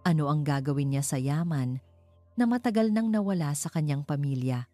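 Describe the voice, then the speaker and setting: A woman narrating a scripted inspirational love story in a measured, dramatic storytelling manner. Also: sits low, animated, dark tone, dry recording. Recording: music under the voice. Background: background music.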